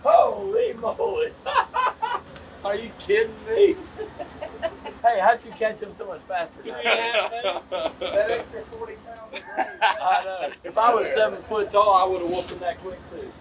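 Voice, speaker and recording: A man on a fishing boat giving excited, laughing, joking reactions as a fish comes in. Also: high voice, measured pace, pauses often, far from the mic, crowd noise. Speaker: crew member on a fishing boat. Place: outdoors on a boat.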